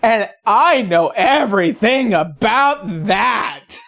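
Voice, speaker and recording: A woman's voice: on the high side, animated, slow pace, a bit bright. A woman delivering scripted, opinionated commentary as a video essayist, in a sarcastic, blunt and profane manner.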